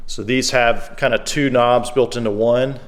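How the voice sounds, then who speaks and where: A man presents an item in an unscripted, informal walkthrough. His voice sits at an average pitch. He talks plainly and easily, thinking aloud as he goes. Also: steady tone, measured pace. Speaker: secondhand shop presenter. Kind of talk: casual unscripted product demonstration.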